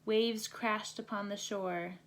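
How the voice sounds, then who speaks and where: A woman's voice: mid-range voice, steady tone, slow pace, fairly bright tone. A woman reading a children's bedtime story aloud as a storybook narrator. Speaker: storybook reader.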